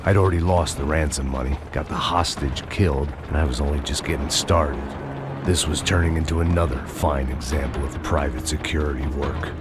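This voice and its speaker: An actor playing a weary, bitter middle-aged bodyguard, narrating in a sardonic hard-boiled noir style. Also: male voice, rumbling low, fairly even intonation, brisk. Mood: grim weary. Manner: world-weary, sardonic, self-reproaching. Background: loud music.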